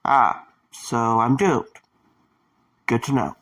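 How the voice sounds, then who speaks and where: An actor playing a weary, sarcastic man under attack in an audio drama, talking in a dry, halting way. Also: mid-range voice, expressive, fairly bright tone, exaggerated voice. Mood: dry sarcasm.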